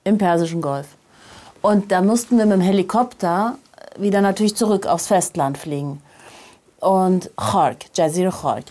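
A middle-aged woman being interviewed, telling personal anecdotes in a casual, animated and emphatic way. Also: fairly low pitch, moderate pace. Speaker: interview guest, journalist.

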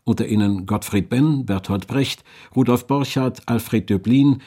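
A man's voice: medium pitch, unhurried, mellow. A man reading scripted historical narration as a documentary narrator.

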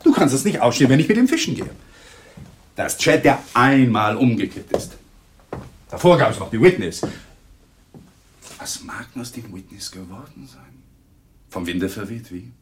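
An actor playing a weary, sardonic and defensive lawyer husband in a German radio crime play, with a dry, ironic delivery. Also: male voice, medium pitch, big pitch swings, normal speed, long pauses, close to an everyday voice. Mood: bitter.

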